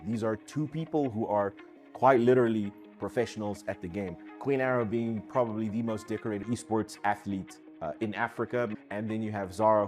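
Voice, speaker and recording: A man talking in a documentary interview. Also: fairly low pitch. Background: soft guitar music.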